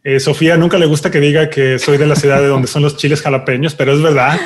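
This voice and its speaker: A Mexican man from Veracruz with a Mexican Spanish accent answering interview questions, relaxed, repeating words as he searches for them. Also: fairly high pitch, fast. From Xalapa, Veracruz, Mexico. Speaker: guest researcher.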